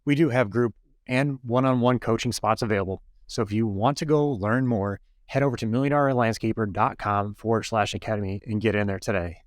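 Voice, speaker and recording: A man's voice: on the low side, a little fast, no echo, clean studio sound. A man hosting a podcast in an enthusiastic, upbeat way, both chatting and reading promos. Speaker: podcast host.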